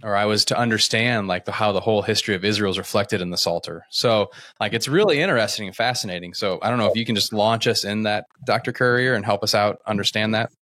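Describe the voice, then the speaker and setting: Man, student pastor, lowish voice, casual, joking, dry close sound. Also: quick pace, few pauses, a bit bright, no echo. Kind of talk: casual podcast chat.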